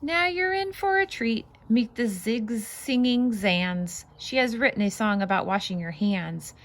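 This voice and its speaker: Animated, high-voiced woman reading to children. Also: unhurried. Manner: expressive, sing-song, emphasizing rhymes. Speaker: read-aloud host. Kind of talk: animated children's picture book read-aloud with casual asides.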